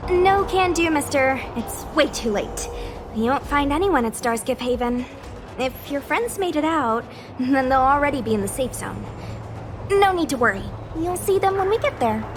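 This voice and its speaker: A woman voicing an eager, bossy young female knight in an anime-style game, brisk and a little scatterbrained. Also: high voice, animated, music in the background. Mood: cheerful, insistent. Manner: brisk, assertive, informal.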